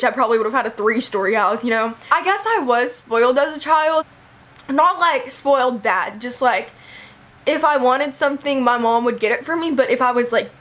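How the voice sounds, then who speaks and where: Young woman, high voice, hoarse with a cold, casual chatty vlog. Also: fairly bright tone. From Texas, USA. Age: young adult. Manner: chatty, lots of "like" and "you know". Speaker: vlogger. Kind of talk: casual talk to camera.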